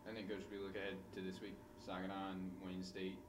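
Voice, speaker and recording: Deep guy asking short questions, off-mic, echoey, noisy. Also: completely flat delivery, a little fast, recorded from a distance. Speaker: interviewer. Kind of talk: sports interview questions.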